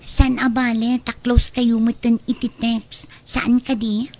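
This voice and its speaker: A woman's voice: fairly high pitch, level tone, takes it slowly, darkish tone. A woman acting a young adult daughter in a radio drama, anxious and nosy, with a questioning, pleading manner. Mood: worried, confiding. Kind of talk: amateur-style radio drama acting in Ilocano.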